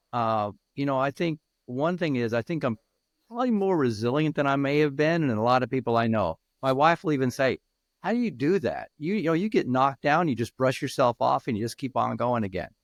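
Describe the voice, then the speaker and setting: A man over fifty answering interview questions as a peer mentor, speaking earnestly and haltingly with many restarts. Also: mid-range voice, animated, no room sound.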